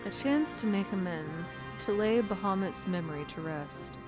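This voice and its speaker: Woman voicing an ancient, solemn female dragon over loud music. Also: mid-range voice, normal speed, close to an everyday voice. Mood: wary hope. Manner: archaic, grave, stately phrasing. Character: ancient female dragon, solemn, proud, guilt-ridden prisoner. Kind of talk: fantasy game dialogue reading.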